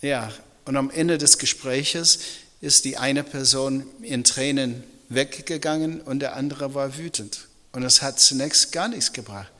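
A middle-aged man, a native English speaker, preaching as a pastor in a halting, reflective, personal manner. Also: medium pitch, pushed and bright. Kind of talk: sermon in German. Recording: long reverb of a large hall.

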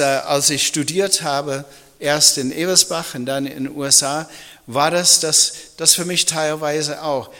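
A middle-aged male pastor, a native English speaker, preaches with a bright, forceful voice. He speaks haltingly and reflectively, in a personal way. Long hall reverb surrounds his fairly high voice. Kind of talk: sermon in German.

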